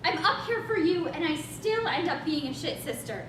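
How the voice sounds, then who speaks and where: A woman in a staged play reading, playing an excitable, childlike, stubbornly cheerful sister, with a playful, insistent delivery. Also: normal speed. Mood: guilty, downcast. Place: stage reading in a roomy venue. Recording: echoey room from far off, noisy rumble.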